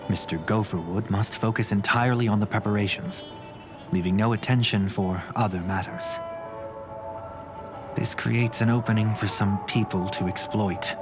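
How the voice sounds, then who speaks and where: A composed older brother, firm and protective, with a lowish, steady voice, as a man voices him in a game. He speaks with measured calm. Game music plays underneath. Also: lightly acted. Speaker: game character. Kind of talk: voiced game cutscene dialogue.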